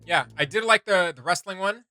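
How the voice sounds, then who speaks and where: A man's voice: high-pitched, lively intonation, fairly quick, bright, forceful tone, dry recording, faint music behind. A man giving casual reaction commentary as a video host, thinking aloud in a rambling way with trailing asides.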